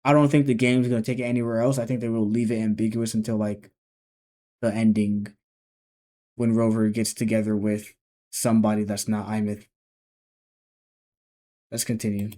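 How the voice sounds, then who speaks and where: A man's voice: on the low side, little pitch movement, moderate pace, pauses often, mellow. A man in his twenties gives casual reaction commentary, thinking aloud and restarting his sentences. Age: 20s. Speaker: reaction video host.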